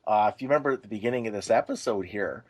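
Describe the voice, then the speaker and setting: A man's voice: on the low side, very fast, slightly rough. A man co-hosting a casual podcast, making his points in short, hesitant phrases. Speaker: podcast co-host.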